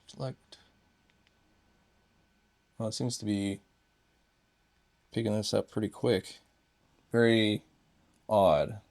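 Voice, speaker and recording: A man's voice: fairly low pitch, fairly even intonation, slow, creaky, long pauses, darkish tone. A man giving a casual, unscripted hands-on gadget review, thinking aloud in short fragments.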